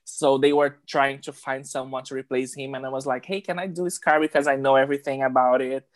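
A drag performer from Brazil with a Brazilian Portuguese accent, native Portuguese speaker, chatting as a guest with bubbly, halting phrasing. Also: female voice, a bass voice, steady tone. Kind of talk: casual interview. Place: New York, remote call.

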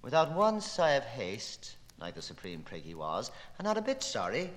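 A man's voice: medium pitch, huge pitch swings, normal speed, fairly bright tone. A man giving a theatrical, declamatory and playful reading of literary prose, relishing the wordplay. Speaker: reader. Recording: long hall-like reverb.

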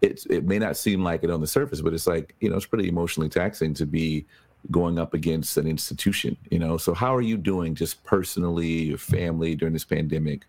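Deep-voiced relaxed male host. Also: quick pace. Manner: relaxed and chatty, with many fillers and laughs. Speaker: podcast host. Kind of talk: casual interview conversation.

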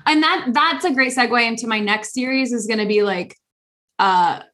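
A woman co-hosting a podcast in a casual chat, animated, laughing often and talking with many fillers. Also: on the high side, moderate pace. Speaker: podcast co-host.

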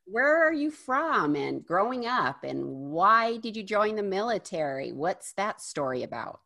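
A woman's voice: mid-range voice, big pitch swings, a little slow, vocal fry, pushed and bright. A woman interviewing a guest, laughing easily and encouraging him to tell his story. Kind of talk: casual interview.

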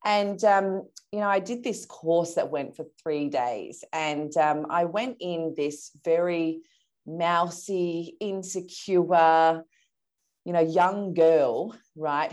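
A woman's voice: fairly low pitch, darkish tone. An Australian woman in her early thirties tells her personal story as an interview guest, in a candid, self-assured, conversational way. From Perth, Australia.

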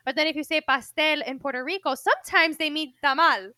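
A young woman with a Cuban accent co-hosting a podcast in animated, slangy chat. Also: sits high, brisk, no echo, studio quality. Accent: Cuban Spanish-influenced English. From Cuban heritage. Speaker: podcast co-host. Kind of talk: casual chat.

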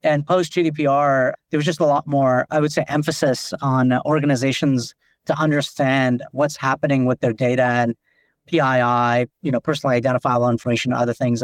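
A man's voice: medium pitch, dry recording. A man from Nepal, a company founder, explaining things in a podcast interview with lots of "like" fillers. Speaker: company founder and CEO, guest.

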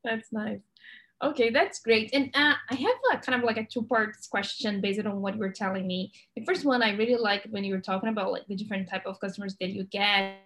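A woman's voice: mid-range voice, steady tone, fairly quick, fairly bright tone. A woman interviewing a guest, asking questions in a hesitant way as she searches for her words.

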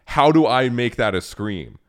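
A man with a very low talking voice, hosting a casual chat and swearing often. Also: unhurried. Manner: swears often, animated and laughing. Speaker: podcast host.

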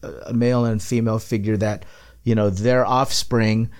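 A man's voice: on the low side, little pitch movement, normal speed, darkish tone, clean tone. A man born in the Philippines hosting a podcast, chatty and affirming, thinking aloud with frequent repeats. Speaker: podcast host. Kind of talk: casual interview chat.

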